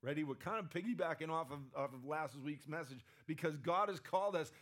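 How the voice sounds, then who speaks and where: A man's voice: fairly high pitch, fairly quick, a bit bright. A man preaching a conversational sermon, often asking the room "right?", his voice strained and sometimes cracking. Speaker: pastor.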